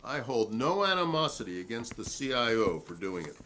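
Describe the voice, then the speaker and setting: A man's voice: mid-range voice, sing-song, fairly quick, sharp tone, a little hiss or hum, rough recording. A man reading the part of a combative working-class salesman testifying at a 1950s hearing, formal, righteous and long-winded. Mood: measured. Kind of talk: dramatic reading of a hearing transcript.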